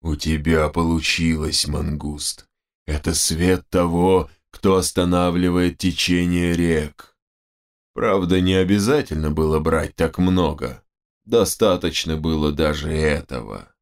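A man voicing a solemn, measured chief shaman in a fantasy audiobook. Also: rumbling low, fairly even intonation. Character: grave male chief shaman, solemn, awed.

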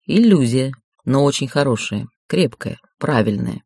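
A male narrator plays a commanding raven lord from a fantasy audiobook. He drops to a low register and speaks softly, calm and tender, measuring out his words. The sound is close and dry. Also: no echo. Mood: assured. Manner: hushed, soothing, measured.

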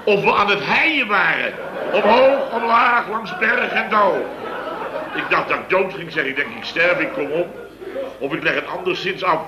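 An actor playing a blustering middle-aged building-firm boss in a 1960s radio comedy, telling stories with big theatrical swings. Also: male voice, sits high, animated, moderate pace, crowd noise, noisy background. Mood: boisterous. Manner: boisterous storytelling, exaggerated swings. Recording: echoey old radio studio recording.

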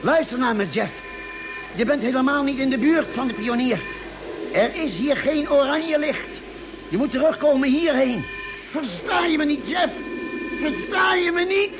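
A man's voice: very high-pitched, animated, moderate pace. A man playing an earnest, worried ship's doctor on a Mars expedition in an old radio play, speaking urgently, with hesitations and repetitions. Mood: alarmed, urgent. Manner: urgent, hesitant, repeating himself. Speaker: radio drama actor. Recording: vintage radio recording with faint noise.

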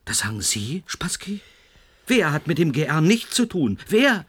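A man's voice: fairly high pitch, sing-song, fairly bright tone, husky, full character voice. Domineering, impatient male project chief. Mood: brusque, challenging. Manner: commanding, insistent, deliberate. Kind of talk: German radio play acting.

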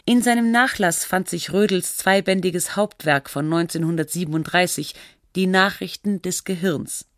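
A woman narrating a radio feature in a measured, factual and articulate scripted style. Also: lowish voice, a bit bright, dry recording, studio quality, natural voice.

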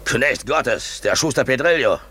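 A man's voice: medium pitch, expressive, pushed and bright, breathy edge, exaggerated voice. A man playing a grim, fanatical cobbler who guards a church, in a brooding 1950s German radio drama style that turns to ranting. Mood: defiant. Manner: brooding, halting, rising to ranting zeal. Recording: noisy 1950s radio recording.

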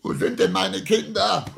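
A man's voice: sits high, creaky, slight background noise, exaggerated voice. An actor playing an embittered, proud father of seventy in a classic German radio drama, declamatory and bitterly sarcastic. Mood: raging, anguished. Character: embittered seventy-year-old father, proud, wounded patriarch.

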